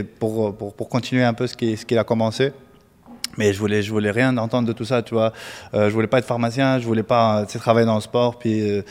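A man's voice: lowish voice, a little mellow. A man from Tunisia, a guest mortgage broker, giving chatty advice full of fillers and stray English words. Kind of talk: casual advice talk. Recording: reverberant room.

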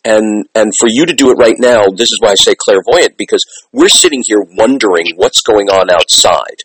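A man's voice: fairly low pitch, very animated, moderate pace, slightly rough, no echo, poor audio. A middle-aged or older man hosting an interview, speaking emphatically and conversationally like a teacher, repeating phrases for weight. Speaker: interviewer, communications and theatre teacher.

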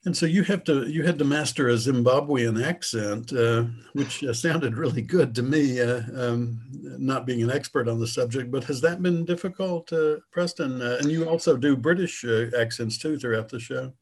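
Husky-voiced halting interview host. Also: male voice, mid-range voice. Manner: halting, polite questions with many "uh"s. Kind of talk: interview hosting.

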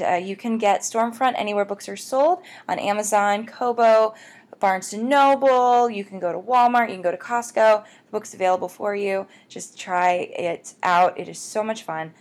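Gushing, enthusiastic American woman podcaster. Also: mid-range voice. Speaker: podcast host. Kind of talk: casual chatty podcast introduction.

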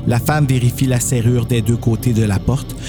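Guy narrating a story, normal pitch, music clearly behind him. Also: steady tone, normal speed.

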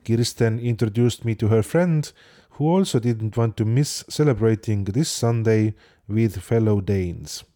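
Male host, mellow tone, measured narration and gentle questions. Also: on the low side, lively intonation. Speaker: podcast host and interviewer.

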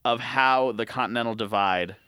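A man co-hosting a podcast in a relaxed, joking way, cursing casually and laughing along. His voice sits in a middle register, recorded close and dry. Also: mid-range voice, normal speed, clean tone, dry recording. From Montana, US. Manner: laid-back, swears freely, laughs often. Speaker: podcast co-host.

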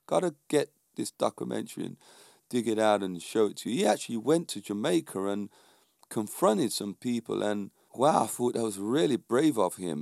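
A man's voice: medium pitch, creaky, darkish tone, no room sound, studio quality. A middle-aged man with a London accent, talking informally and emphatically to viewers as a fitness instructor, often checking in with short rhetorical questions. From London, England. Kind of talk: informal motivational monologue to viewers.

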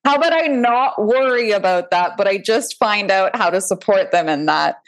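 A woman's voice: medium pitch, expressive, moderate pace. A woman parenting coach, a guest on a video call, talking earnestly and encouragingly and acting out her own thoughts as little quotes. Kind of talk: casual conversation on a video call.

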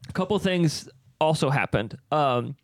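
A man's voice: fairly high pitch, animated, a little slow, no echo. A young man co-hosting a casual podcast, telling stories with lots of fillers and frequent laughs. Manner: rambling storyteller, laughs often, lots of fillers. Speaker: podcast co-host. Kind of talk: casual chat.

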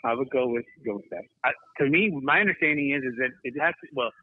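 A man's voice: mid-range voice, quick pace, no room sound. A man with a mid-range voice comes through a narrow, radio-like band with very little room sound. He talks casually as a guest, easygoing, stopping and restarting as he explains. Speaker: guest correcting pronunciation and commenting on cigars. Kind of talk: casual chat.

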